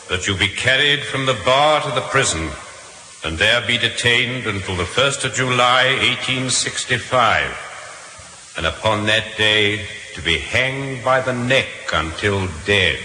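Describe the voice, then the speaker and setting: Forceful, echoing Victorian judge. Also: male voice, medium pitch, animated. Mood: grave, pronouncing. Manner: declamatory, deliberate, formal. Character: stern, solemn male Victorian trial judge. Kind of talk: 1950s radio drama acting. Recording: echoey, hissy old radio recording.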